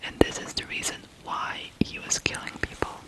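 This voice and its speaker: An ASMR host from Ukraine telling true crime stories in a breathy whisper, in deliberate phrases with pauses. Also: rough recording.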